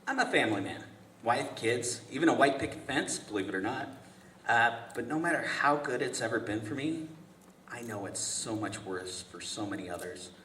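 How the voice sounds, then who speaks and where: Echoey, animated, nice-guy family man, acted by a man. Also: medium pitch, normal speed. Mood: earnest. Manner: hesitant, chatty, apologetic, stammering. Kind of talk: live script table read. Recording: echoey room from far off, noisy.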